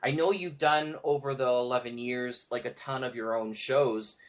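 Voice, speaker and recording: A man talking casually as an interview guest, telling stories in an animated way and acting out bits of dialogue. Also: mid-range voice, normal speed. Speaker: podcast guest, audio drama producer and show host.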